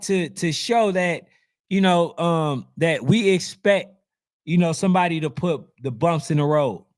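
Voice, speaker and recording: Hoarse man riffing to his viewers. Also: sits high. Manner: animated, preachy, talks straight to his viewers. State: losing his voice. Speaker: youth football channel host. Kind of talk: casual commentary reading comments.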